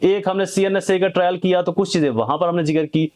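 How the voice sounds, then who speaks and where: A man's voice: high-pitched, fairly even intonation, quick pace, a little mellow. A man with a Pakistani accent giving an informal, emphatic legal lecture to viewers, mixing in English legal terms and posing rhetorical questions. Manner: emphatic, poses rhetorical questions and answers them. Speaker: advocate and legal explainer.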